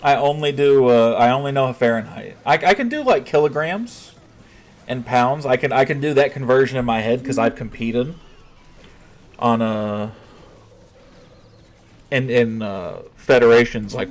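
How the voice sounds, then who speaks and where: A man talks loosely to his live stream audience, answering chat as he goes. He thinks out loud and often stops to rephrase before he finishes a thought. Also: mid-range voice, unhurried. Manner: chatty, reads chat aloud, often restarts and thinks out loud. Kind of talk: casual livestream chat.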